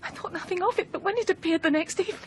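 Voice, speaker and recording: Anxious wife, very high pitch, hesitant, breathy, fraught, radio drama, faint background noise. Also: female voice, very fast. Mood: defensive, worried. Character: anxious, haunted new wife, fearful yet defiant.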